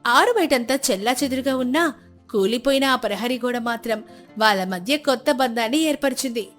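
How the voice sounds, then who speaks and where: A woman's voice: fairly high pitch, lively intonation, fairly quick, a bit bright. A woman narrating a scripted moral story as a storyteller. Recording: music bed under the narration.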